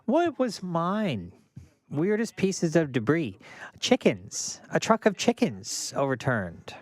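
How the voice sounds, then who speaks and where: A man's voice: on the high side, big pitch swings, slow, no room sound. A young man, a radio traffic reporter chatting casually and rambling as he reads viewer questions between reports. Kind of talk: casual live-stream chat with on-air traffic reports.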